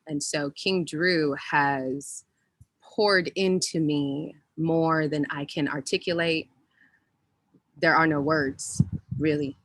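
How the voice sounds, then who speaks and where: A woman's voice: low voice, steady tone, takes it slowly, no room sound, poor audio. A woman speaking on a panel as a former teacher and soon-to-be doctor, heartfelt and emphatic. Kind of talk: unscripted panel answer.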